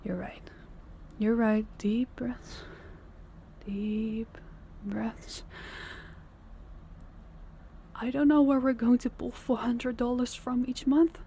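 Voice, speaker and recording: A young woman voicing a tired, tender working girlfriend in an audio roleplay, speaking softly and intimately, often close to a whisper. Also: fairly high pitch, fairly even intonation, takes it slowly, a little hiss or hum. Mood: shocked, anxious. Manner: soft-spoken, intimate, often near a whisper. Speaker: voice actor.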